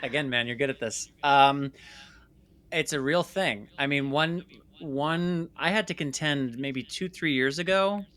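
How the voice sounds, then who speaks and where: A man in his late thirties from New York City, an interview guest who talks in an emphatic, animated storytelling way and voices imagined dialogue. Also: fairly high pitch, measured pace, clean tone. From New York City, United States. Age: late 30s. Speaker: interview guest, filmmaker and company founder.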